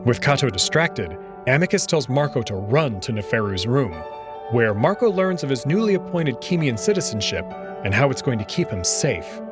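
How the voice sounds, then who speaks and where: A man's voice: medium pitch, very animated, moderate pace. A man hosting a visual novel playthrough, reading scripted narration in a dramatic storytelling style. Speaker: host and reader of a game playthrough. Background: background music.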